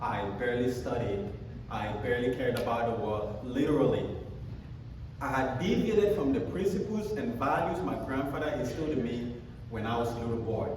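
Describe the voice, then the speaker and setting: A man in his thirties from Cameroon with a Cameroonian accent, giving an animated motivational keynote at a conference and telling his story with self-deprecating jokes. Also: mid-range voice, moderate pace, noisy background. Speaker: conference speaker. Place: large conference hall. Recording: echoey hall, speaker far from mic.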